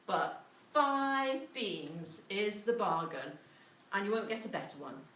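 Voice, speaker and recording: Storybook trader, read by a woman: funny little man, sly, persuasive, lively intonation, echoing room. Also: medium pitch, takes it slowly, far from the mic. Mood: salesmanlike. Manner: persuasive patter.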